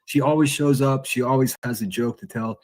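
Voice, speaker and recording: A streamer on a livestream, talking in a rambling, profane way. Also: male voice, mid-range voice, a little fast, darkish tone. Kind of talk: casual livestream chat.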